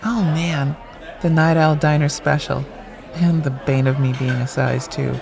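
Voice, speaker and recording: A woman's voice: sits low, fairly even intonation, unhurried, vocal fry, crowd noise. An actress playing a witty, frank woman on a friendly date in an audio drama, with wry, clipped quips. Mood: pleased, playful.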